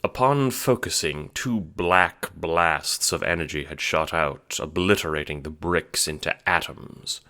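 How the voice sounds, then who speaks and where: Very low-pitched man narrating. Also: a little slow, hardly any pauses, bright, forceful tone. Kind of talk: scripted second-person audiobook narration.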